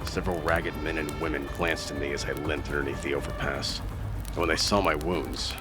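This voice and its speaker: A man's voice: a bass voice, steady tone, a little fast. An actor playing a battered, gritty fighter, narrating his own story in an audio drama with grim intensity. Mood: grim, weary. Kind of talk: dramatic first-person scripted narration. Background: loud music.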